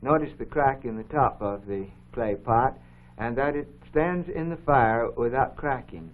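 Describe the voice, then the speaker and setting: A thin, band-limited 1950s broadcast recording carries a man's voice of medium pitch. He explains things step by step like an educator, in a formal, measured way, commenting on what is being shown. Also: narrow, tinny audio. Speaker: co-host of an educational program. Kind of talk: unscripted explanatory commentary over film footage.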